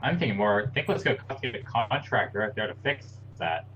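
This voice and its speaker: A man's voice: fairly low pitch, lively intonation, a little fast, fairly bright tone. A man chatting easily while watching a movie with friends over a voice call. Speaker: co-host watching a film. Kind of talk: casual group commentary over a movie. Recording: dull lo-fi mic with faint noise.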